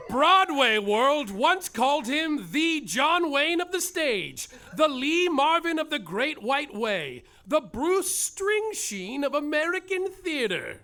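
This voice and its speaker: A man's voice: very high voice, bright, forceful tone, full character voice. An actor playing a grandiose, drawling male detective who narrates his own story on a live theater stage.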